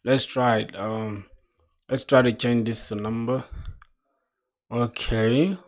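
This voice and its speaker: A man's voice: medium pitch, fairly even intonation, slow pace. A young man from South Sudan, a self-taught programmer, thinking aloud while he codes, reading documentation and talking casually. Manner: casual, musing, reads docs aloud, says "bro".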